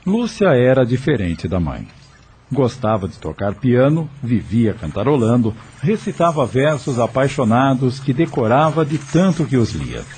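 A man's voice: medium pitch, very animated, normal speed, darkish tone, slight background noise. A man narrating a scripted radio drama in a dramatic, literary storytelling manner. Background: background music.

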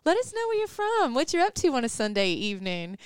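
A woman's voice: a little high, wildly swooping pitch, quick pace, clean tone, no room sound, studio quality. A woman from the American South with a Southern accent, co-hosting a community radio show in an upbeat, friendly chat. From Southern United States. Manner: upbeat, friendly, says "like" a lot. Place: radio studio.

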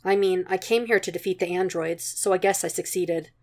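A woman voicing a battle-weary, hollow young man in a soft monotone, in a storybook reading. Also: fairly low pitch, fairly quick, lightly acted. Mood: hollow, subdued.

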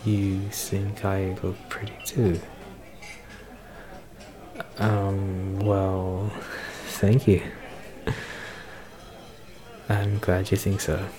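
A man acts out a shy male celebrity flirting with a stranger in an ASMR roleplay. His voice is deep and he takes his time, hesitating bashfully as he goes. A bar crowd chatters clearly behind him. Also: completely flat delivery. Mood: bashful, awkward. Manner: hesitant, halting, nervous soft laughs. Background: crowd noise of a bar.

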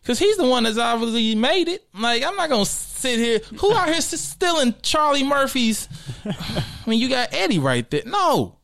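An American man from the southern US telling stories casually as a guest comedian, in animated, slangy talk. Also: extremely high pitch, normal speed, creaky. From Arkansas and St. Louis, southern US. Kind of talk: casual storytelling chat.

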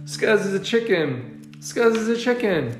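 A man's voice: sits high, a little slow, roomy sound, soft background music, voice pushed up. A man voicing a bossy, taunting young grizzly gang leader from a storybook, with slangy talk.

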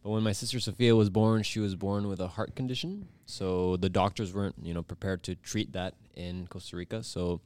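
A man's voice: deep voice, steady tone, fairly quick, few pauses, darkish tone, smooth, dry recording. A man in his twenties, from Bolivia, a podcast guest telling his story in an easygoing way, full of "you know" and "like". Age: 20s. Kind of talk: casual interview chat.

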